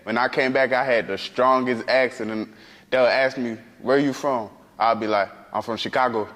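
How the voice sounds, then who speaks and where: A man telling a casual story in a relaxed way, often laughing. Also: medium pitch, normal speed, big-room sound. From United States. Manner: laid-back, laughing storyteller. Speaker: interviewee.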